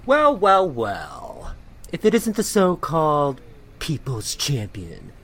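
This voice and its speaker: A man's voice: fairly high pitch, wildly swooping pitch, slow pace, a bit bright, heavily acted. A man playing a snide non-binary muckraking blogger in an audio drama, mocking and pointed, questioning like an interrogator.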